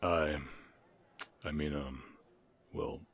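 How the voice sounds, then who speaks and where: A bashful orc cowboy, acted out by a man, speaks in a rock-bottom deep voice with a light Southern American accent. He is gentle and lovestruck, hesitating often as he talks. The delivery is intimate ASMR roleplay. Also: fairly even intonation, drawn-out words, exaggerated voice. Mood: reluctant, hesitant. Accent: slight Southern American. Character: shy, gentle, lovelorn male orc cowboy.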